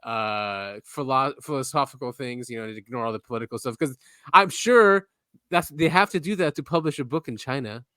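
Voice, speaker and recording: A laid-back man co-hosting a podcast, his voice at an ordinary middle pitch. He rambles loosely with plenty of "yeah" and "um", and the recording is dry and close. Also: fairly quick, clear, resonant tone, no echo. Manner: relaxed, lots of "yeah" and "um", drifting trailing-off sentences. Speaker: podcast co-host. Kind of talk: casual chat.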